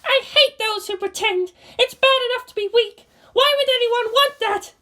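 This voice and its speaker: A woman voicing an anguished, defiant teenage girl pilot in an audio story, bitter and forceful, her voice breaking with emotion. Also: extremely high pitch, moderate pace, pitched above the performer's own voice. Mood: anguished fury. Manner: forceful, emotional, pleading outbursts. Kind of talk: dramatic fan fiction reading.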